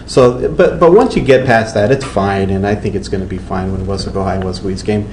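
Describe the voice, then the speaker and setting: A man's voice: fairly low pitch, a little fast, darkish tone. A middle-aged man from Texas, a sports reporter chatting casually on a webcast panel. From Texas, USA. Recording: echoey room, faint background noise.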